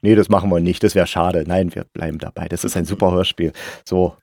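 A man's voice: deep voice, steady tone, fairly quick, creaky, dark tone, no room sound. A man hosting a casual interview, chatting informally and colloquially with his guests. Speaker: interviewer and podcast host.

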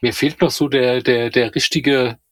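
A man co-hosting a casual podcast discussion, tentative and thinking out loud as he talks. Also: medium pitch, steady tone, a little slow, no room sound. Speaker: podcast co-host.

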